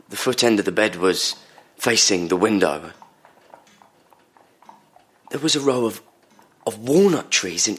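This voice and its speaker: An actor playing a troubled, polite patient in an audio drama, halting and repeating words as he recalls. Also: medium pitch, husky. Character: troubled, polite male patient recounting dreams to analyst.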